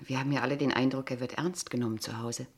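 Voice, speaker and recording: An engaged, caring kindergarten teacher in a radio play. Her voice sits low for a woman. She talks politely and articulately, in a measured, gently probing way. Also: steady tone. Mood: friendly. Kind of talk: naturalistic German radio play acting.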